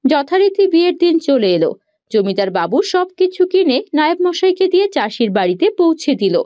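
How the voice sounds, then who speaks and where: A woman's voice: sits high, big pitch swings, fairly quick, mellow, muffled recording. A woman reading scripted fairy tale narration as a cartoon's narrator. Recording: background music under the voice.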